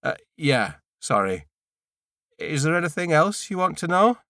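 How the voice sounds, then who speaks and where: A man's voice: a little high, big pitch swings, measured pace, bright, forceful tone, dry recording, clean studio sound, voice pushed up, heavily acted. A man voicing an awkward, bumbling male neighbour in an audiobook, hesitant and stammering as he makes small talk.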